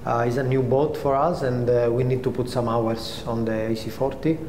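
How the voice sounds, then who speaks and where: A middle-aged man, a sailor, answers interview questions in non-native English. The sound is echoey with long reverb and faint noise, and his pitch is average. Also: little pitch movement, moderate pace, darkish tone. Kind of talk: team debrief interview. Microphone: on-camera interview before sponsor backdrop.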